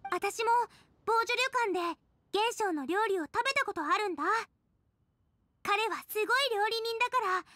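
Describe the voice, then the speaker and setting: A woman's voice: very high-pitched, moderate pace, bright, forceful tone, no room sound, studio quality. A woman voicing a cheerful young girl chef, earnest and encouraging, in a Japanese anime-style game. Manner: earnest, girlish, supportive.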